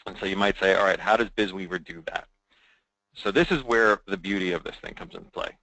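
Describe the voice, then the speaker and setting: Man, low-pitched bright voice, informal software presenter, conversational, explaining step by step. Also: fairly quick, no room sound, low-quality recording. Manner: conversational, checking in with "right?".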